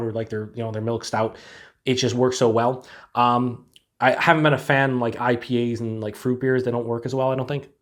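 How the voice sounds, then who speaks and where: A man with a stuffed-up head cold giving a laid-back, rambling beer review to camera, full of fillers. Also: mid-range voice. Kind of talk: casual solo review to camera.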